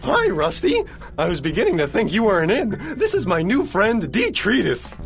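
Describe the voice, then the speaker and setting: A man voicing a chatty, friendly robot in a video game, animated and familiar in manner. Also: high-pitched, normal speed, gravelly, soft background music, heavily acted. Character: chatty friendly robot showing a newcomer around. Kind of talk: scripted video game cutscene acting.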